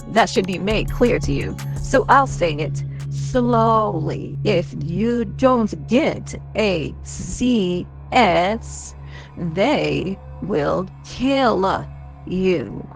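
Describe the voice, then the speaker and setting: A woman's voice: medium pitch, lightly acted. Woman doing a cold spiteful young woman, mocking and menacing.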